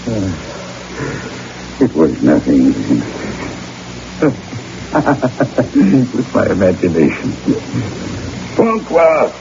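An actor playing a haunted, uneasy backwoods guide with a French-Canadian accent, halting and wary, in an old radio drama. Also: male voice, lowish voice, wildly swooping pitch, heavily acted. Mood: evasive. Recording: muffled vintage radio recording, loud hiss.

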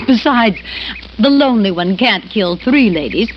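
An actress playing a headstrong, composed unmarried woman in old-time radio drama, brisk and dismissive. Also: medium pitch, lively intonation, close to an everyday voice. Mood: insistent. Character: headstrong, unflappable unmarried woman brushing fear aside. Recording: muffled vintage radio recording, faint noise.

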